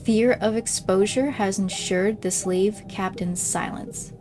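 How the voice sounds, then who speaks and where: A woman's voice: medium pitch, steady tone, takes it slowly. A woman reading messages from a video game aloud, as the creator of a gameplay video.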